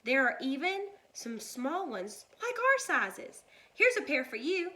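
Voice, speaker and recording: Woman playing an eager, high-pitched young adventurer girl. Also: sing-song, slow, bright, forceful tone, full character voice. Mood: insistent. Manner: exclaiming, urging.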